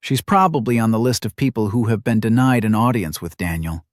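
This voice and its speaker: Guy doing a haughty young noble lady for an audiobook, indignant and scolding, pitched up a bit. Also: lively intonation, a little fast, no echo, clean studio sound, close to an everyday voice.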